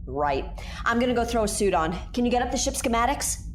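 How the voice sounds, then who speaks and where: A woman's voice: fairly high pitch, lively intonation, quick pace, slight background noise, natural voice. A woman playing a playful, teasing space explorer and ghost show host in an audio drama. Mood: wary, dry.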